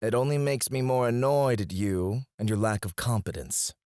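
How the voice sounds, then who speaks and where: A man's voice: mid-range voice, normal speed, a little mellow, no room sound, studio quality, natural voice. An actor playing a haughty, sarcastic, prickly and flirtatious male court alchemist in an ASMR fantasy roleplay, with a sardonic drawl. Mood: annoyed, disdainful. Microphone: close studio mic, dry.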